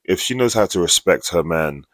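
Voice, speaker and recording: A man's voice: low-pitched, expressive, normal speed, no echo. A man hosting a dating show, giving his opinions casually and repeating phrases for emphasis. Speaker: host. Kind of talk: casual commentary.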